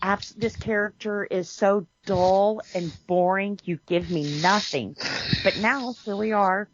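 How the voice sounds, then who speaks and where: A woman co-hosting a podcast, chatting casually about a TV series in an animated way, full of restarts and "you know". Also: mid-range voice, takes it slowly, highs cut off, slight background noise. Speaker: podcast co-host. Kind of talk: casual chat reviewing a TV series.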